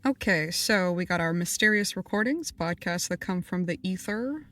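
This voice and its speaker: An actress playing a casual, teasing podcast producer in a naturalistic audio drama. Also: female voice, lowish voice, animated, moderate pace, fairly bright tone. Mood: matter-of-fact.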